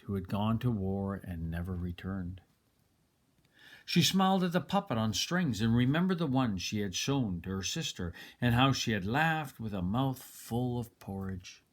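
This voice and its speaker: A man reading a children's story aloud in an expressive narrating style. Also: lowish voice, normal speed. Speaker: storybook reader.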